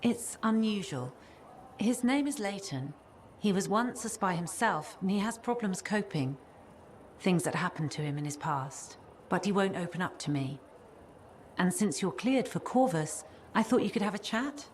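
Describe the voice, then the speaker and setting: An actress playing an earnest, polite and worried wife in a video game. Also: female voice, mid-range voice, lively intonation, normal speed, a little hiss or hum, close to an everyday voice. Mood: concerned. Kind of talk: video game cutscene dialogue.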